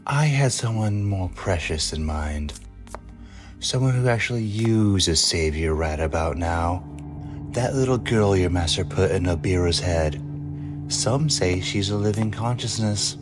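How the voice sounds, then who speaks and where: A man's voice: deep voice, animated, a little slow, music in the background. A man playing a cool, cocky hustler of a hacker in a radio drama, sly, casual and persuasive.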